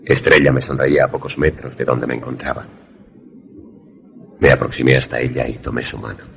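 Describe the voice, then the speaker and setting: Wary suspense protagonist, extremely low creaky voice, deliberate formal narration, radio drama. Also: male voice, level tone. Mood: solemn storytelling. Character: wary male narrator-protagonist, secret lover in danger.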